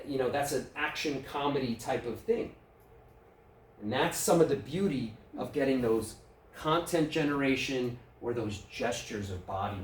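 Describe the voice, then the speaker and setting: A man with a New York accent leading a public speaking workshop, talking casually and putting questions to the audience. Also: medium pitch. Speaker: workshop presenter. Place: club meeting room. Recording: roomy, distant mic, faint room noise.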